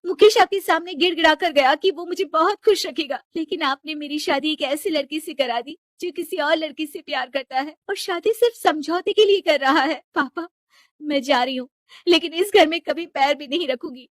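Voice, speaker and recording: A woman's voice: high-pitched, moderate pace, dry recording, studio quality, pitched above the performer's own voice, natural voice. A woman voicing a headstrong, lovelorn young daughter in a story, speaking insistently and emotionally. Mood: hurt, accusing.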